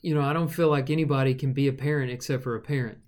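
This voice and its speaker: A man's voice: medium pitch, steady tone, very fast, a little mellow. A man co-hosting a Bible study discussion, reasoning through the text and posing rhetorical questions. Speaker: Bible study podcast co-host.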